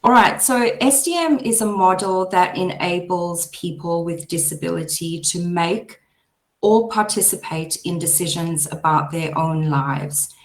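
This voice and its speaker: Hoarse woman presenting, echoey room. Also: lowish voice, a little slow. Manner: chatty, laughs easily. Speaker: presenter, behaviour support practitioner. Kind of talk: webinar presentation. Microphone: video call.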